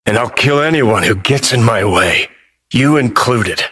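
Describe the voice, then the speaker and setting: An actor playing a cynical, brash rebel rocker in a video game, with a sardonic, profane and taunting manner. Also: male voice, medium pitch, animated, a little slow, pushed and bright, husky, full character voice. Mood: cocky, determined.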